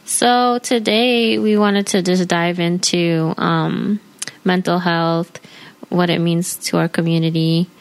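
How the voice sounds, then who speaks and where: A woman's voice: on the low side, fairly even intonation, slow, rough recording. An American woman from New York hosting a podcast, chatting in an informal, slangy way. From New York, United States. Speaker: podcast host. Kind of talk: casual podcast chat.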